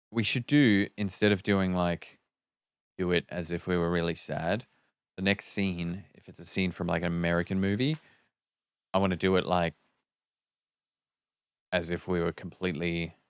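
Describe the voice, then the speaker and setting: A man's voice: deep voice, steady tone, normal speed, long pauses, smooth, no room sound, clean studio sound. A man chatting casually as a podcast host. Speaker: podcast host and amateur actor. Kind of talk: casual chat.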